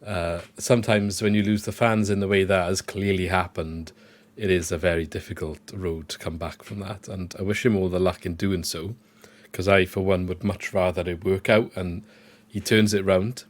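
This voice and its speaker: Croaky low-voiced man hosting a podcast. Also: steady tone, moderate pace. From Wales. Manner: conversational, frequent restarts. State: croaky from illness. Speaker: podcast host. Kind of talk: casual football podcast chat.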